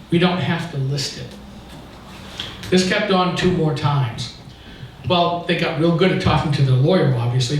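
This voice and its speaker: An American man giving an informal talk at a local meeting, telling his story in an emphatic, indignant, explanatory way. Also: on the high side. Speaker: activist speaking at a local political meeting. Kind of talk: informal public talk, recounting an anecdote. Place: indoor meeting room, recorded from a distance. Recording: echoey room, distant mic, faint noise.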